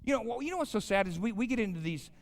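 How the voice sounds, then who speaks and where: American preacher in his fifties, animated delivery. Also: high voice, very fast. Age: 50s. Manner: folksy, colloquial, addresses the audience with questions. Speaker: pastor, guest chapel speaker. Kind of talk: informal chapel sermon with storytelling. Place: large indoor chapel, speaking to an audience. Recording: live hall with long reverb.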